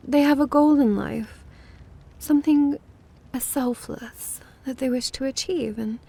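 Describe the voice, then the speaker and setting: A woman's voice: on the high side, gravelly, mellow. A woman playing a prickly, disdainful vampire who is secretly smitten with a human, huffy and theatrical in an ASMR roleplay.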